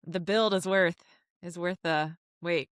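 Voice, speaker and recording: A woman's voice: on the low side, steady tone, unhurried, clear, resonant tone, no echo, studio quality. A woman co-hosting a podcast, joking and riffing in a casual, playful way. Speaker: podcast co-host. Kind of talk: casual comedy chat, riffing.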